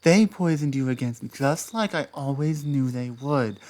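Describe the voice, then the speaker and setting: A woman's voice: very deep voice, expressive, moderate pace. A woman playing a whispering, obsessive ex. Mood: possessive. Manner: soft-spoken, drops into whispers, flares up. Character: obsessive possessive ex-partner, just released, menacing. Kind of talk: audio roleplay monologue.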